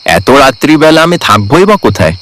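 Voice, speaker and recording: Animated, anxious lost traveller played by a man. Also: mid-range voice, brisk. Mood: uneasy. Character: anxious lost male traveller telling his ghost story. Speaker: narrator and main character. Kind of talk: dramatised horror cartoon storytelling in first person.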